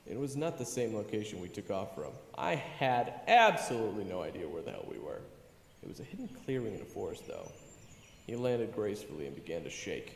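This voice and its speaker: A man's voice: lowish voice, big pitch swings, fairly quick, vocal fry. A man playing a wry young adventurer who narrates his own fantasy tale in a candid, conversational way. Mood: reflective storytelling. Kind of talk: first-person story narration. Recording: reverberant, music behind.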